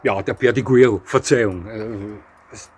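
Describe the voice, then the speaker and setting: A man's voice: lowish voice, normal speed, darkish tone, soft background music. A man giving spoken commentary, frank and matter-of-fact, with many fillers and self-corrections. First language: not Portuguese. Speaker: dog breed commentator. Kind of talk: spoken commentary on a breed ranking.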